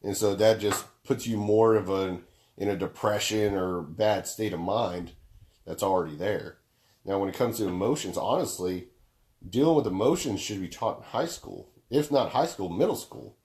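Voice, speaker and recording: A young man with a deep baritone, chatting casually as a podcast co-host. His voice is low and mellow, and he is laid-back, swearing freely while working through long explanations. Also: moderate pace.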